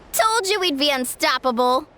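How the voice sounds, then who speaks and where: An excitable young girl hero from a video game, with a very high-pitched, lively voice. She is bubbly, cocky and exuberant, over prominent background music. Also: heavily acted. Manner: exuberant, exclaiming.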